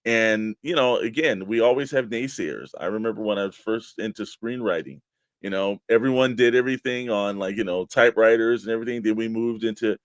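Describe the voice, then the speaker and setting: A man's voice: lowish voice, a little fast, no room sound. A man co-hosting a casual talk show about a hobby, speaking in an informal, rambling way. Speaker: show co-host. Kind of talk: casual chat about toy collecting.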